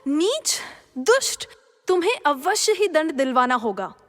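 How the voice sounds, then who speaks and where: Distressed young maiden, piercing bright voice, mythological radio play, long hall reverb. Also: female voice, sits high, sing-song, a little slow, full character voice. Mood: indignant, alarmed. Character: young hermit sage's daughter, frightened, indignant maiden in distress. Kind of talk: Hindi mythological audio drama acting.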